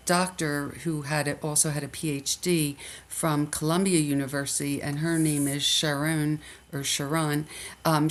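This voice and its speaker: An older woman from the Philadelphia area with a Philly accent, speaking reflectively as an interview guest with frequent restarts. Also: deep voice. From Philadelphia area, USA. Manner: reflective, many restarts and fillers. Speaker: guest, nurse educator. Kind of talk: interview conversation.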